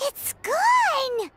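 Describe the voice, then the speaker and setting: Tiny floating game companion, extremely high squeaky child voice, wildly animated, excitable, curious. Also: female voice, full character voice. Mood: amazed. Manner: exaggerated, refers to self in third person. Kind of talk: video game voice acting.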